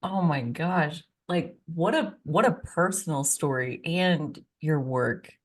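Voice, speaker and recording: A woman's voice: sits low, slow. A woman hosting a podcast interview, enthusiastic and rambling, with many filler "like"s. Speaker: podcast host.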